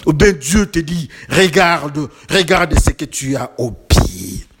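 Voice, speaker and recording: A man's voice: fairly high pitch, sing-song, slow pace, a bit bright, husky. A man preaching a sermon with emphatic, building repetitions and rhetorical questions, slightly congested from a cold.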